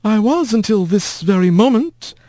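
A man's voice: high-pitched, expressive, moderate pace, dark tone, no room sound, studio quality, pitched above the performer's own voice. A man voicing a bitter, sour old female mouse who leads her clan, talking with cold contempt.

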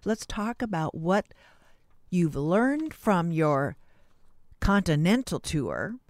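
A man's voice: sits high, sing-song, slow pace, no room sound. A man hosting an interview, putting questions to a guest in a casual, conversational style. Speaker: interview host.

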